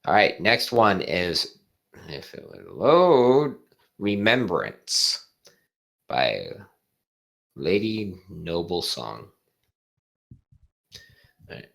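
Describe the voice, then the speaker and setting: A person reading fan fiction aloud and chatting about it casually, often halting and stumbling over words. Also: deep voice, big pitch swings, gravelly, a bit bright. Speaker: fan fiction reader and co-host. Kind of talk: casual commentary and read-aloud.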